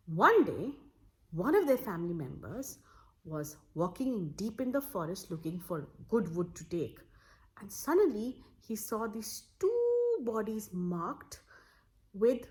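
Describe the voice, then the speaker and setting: A woman hosts an animated storytelling session for children. Her pitch moves widely as she narrates a folktale, and she repeats words for dramatic emphasis. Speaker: storyteller and host.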